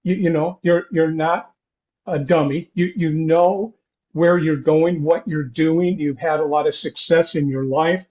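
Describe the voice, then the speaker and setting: An older man hosting an interview podcast, talking in a halting, rambling way with repeated false starts. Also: fairly high pitch, fairly even intonation, darkish tone. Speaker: podcast host. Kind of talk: conversational interview. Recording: dull, lo-fi sound.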